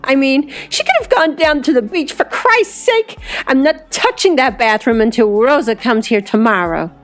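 A woman voicing a tipsy, feisty, dramatic woman in an audiobook, emphatic, exclamatory and quick to outrage. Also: high-pitched, expressive, pitched above the performer's own voice. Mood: horrified, disgusted. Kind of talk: audiobook character dialogue.

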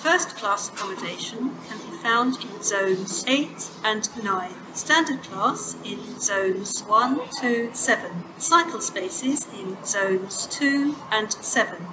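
A woman giving automated railway station announcements in a measured, formal way, played over loudspeakers. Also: medium pitch, animated, background noise. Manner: measured, formal, clearly spaced words. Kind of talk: recorded public announcements. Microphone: station loudspeakers recorded from far off. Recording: echoey platform speakers from far off. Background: station ambience.

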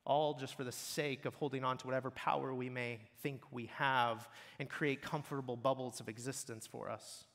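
A man's voice: mid-range voice, fairly even intonation, moderate pace, few pauses, a bit bright. A man preaching a sermon in an earnest, blunt way that builds in intensity. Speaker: pastor. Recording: long hall reverb.

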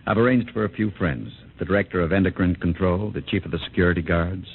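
An actor in a 1950s radio drama playing a smooth, patronizing, controlling official courting his fiancée, with a husky voice. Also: deep voice, fast talker. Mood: suave. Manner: suave, patronizing. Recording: tinny old radio recording.

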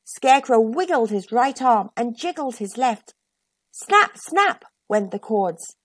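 A woman reading a children's picture book aloud with expressive narration as a storytime host. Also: a little high, slow, husky.

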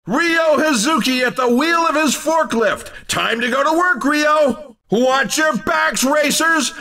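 An actor playing a playful, over-excited racing commentator in a video game, with a hammy, exclamatory showman delivery. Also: extremely high pitch, distant mic, exaggerated voice. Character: over-excited male cartoon racing announcer, playful and punning.

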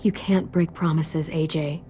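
A woman's voice: low-pitched, steady tone, measured pace, warm tone, soft background music. A woman playing a tough, protective teenage girl in a dramatic video game, firm and serious like a young parent. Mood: stern, exasperated.

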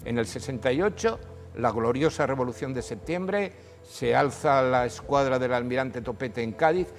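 Hombre mayor de voz rasposa, narrador de historia. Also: male voice, normal speed, long reverb tail, faint music behind. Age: older. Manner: animated storytelling, rises in pitch when emphasizing. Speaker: historian guest. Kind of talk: studio history discussion. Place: TV studio. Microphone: lapel.

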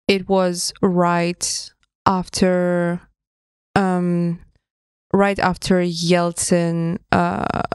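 A woman's voice: lowish voice, flat delivery, very slow, clean tone, no room sound, studio quality. A woman in her thirties from Russia, talking as a podcast guest in a hesitant, thoughtful way and laughing often. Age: 30s. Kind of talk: casual interview conversation. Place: studio room with table. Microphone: studio mic with headphones.

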